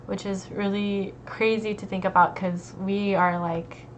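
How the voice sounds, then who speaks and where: A young American woman, an art student, talking casually and relaxed, with lots of fillers. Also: mid-range voice, unhurried. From United States. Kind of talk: casual conversation. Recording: dull lo-fi recording with faint noise.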